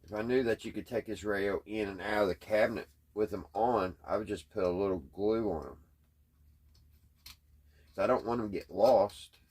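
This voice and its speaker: A man chatting casually in a halting, puzzled, folksy way, thinking out loud as he tinkers. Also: fairly low pitch, breathy edge. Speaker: host of a radio repair hobby channel. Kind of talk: casual hands-on repair chat, thinking out loud.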